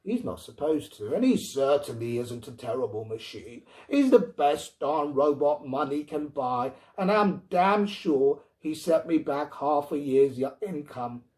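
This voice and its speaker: Storybook husband, voiced by a man: exasperated, dismissive, emphatic, slow-paced with lively swings in pitch. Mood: defensive. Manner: blustering, emphatic.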